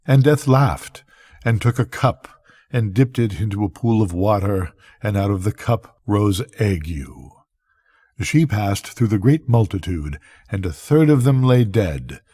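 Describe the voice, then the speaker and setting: An older man reading a bedtime story as a grandfather, with measured, deliberate phrasing and pauses. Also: lowish voice, lively intonation, mellow, slightly rough, no room sound, studio quality.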